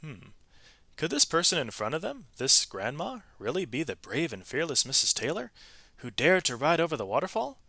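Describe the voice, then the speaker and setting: A man narrating a children's story aloud in an expressive, animated way, with varied emphasis from phrase to phrase. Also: fairly high pitch, moderate pace, vocal fry, no echo.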